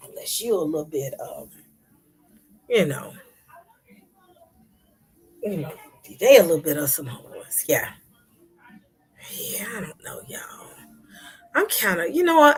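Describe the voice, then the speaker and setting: A woman hosting a casual livestream chat, talking to her viewers with soft whispery asides and sudden loud bursts. Her voice is husky, as if she is losing it. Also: medium pitch, low-quality recording. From Memphis, United States. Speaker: livestream host.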